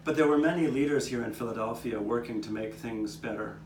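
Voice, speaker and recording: A man's voice: mid-range voice, fairly quick, close to an everyday voice. A man playing a 19th-century Philadelphian, earnestly narrating memories of his neighbors' struggles, occasionally stumbling over the script. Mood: earnest recollection. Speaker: narrator of a puppet show. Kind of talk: scripted first-person historical narration, storytelling. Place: outdoor courtyard, live performance. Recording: roomy, distant microphone, noticeable background noise.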